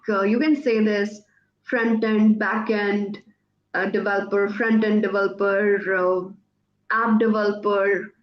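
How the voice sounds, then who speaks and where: A woman from Pakistan whose first language is Urdu asks interview questions over a call. She speaks very slowly and often stalls while searching for words. Her audio is echoey and muffled. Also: medium pitch. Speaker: host, interviewer.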